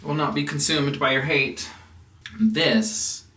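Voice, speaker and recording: A man's voice: medium pitch, a little slow, pushed and bright. American man chatting in an echoey room. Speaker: house music producer and DJ hosting a vlog. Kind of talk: casual chat, personal storytelling. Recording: roomy, distant mic with background noise.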